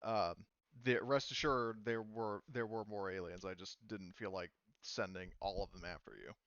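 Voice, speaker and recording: A man's voice: lowish voice, steady tone, normal speed, fairly bright tone, no echo, highs cut off. A man running a tabletop game, explaining backstory in a rambling, filler-heavy way. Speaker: game master. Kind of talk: casual out-of-character explanation.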